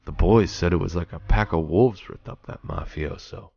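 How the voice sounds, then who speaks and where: A man's voice: sits low, moderate pace, vocal fry, warm tone, slightly rough, lo-fi sound, full character voice. A man playing an older retired police detective in a noir audio drama, blunt and paternal, using old-time slang. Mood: wary, stern. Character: gruff, fatherly retired male police detective.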